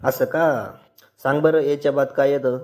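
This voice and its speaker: A man's voice: mid-range voice, fairly even intonation, moderate pace, natural voice. A man playing a grumpy, sarcastic son-in-law in a comedy cartoon, speaking with a rural Maharashtrian accent. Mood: mocking. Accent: rural Maharashtrian Marathi. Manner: complaining, mocking. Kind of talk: comedy cartoon dialogue in colloquial Marathi.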